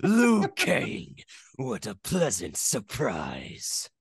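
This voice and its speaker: A man voicing a menacing, faceless chaos warlord from a fighting game, with a raspy growl and grandiose, threatening delivery. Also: medium pitch, wildly swooping pitch, drawn-out words, no room sound, studio quality, heavily acted. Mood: mocking, sinister.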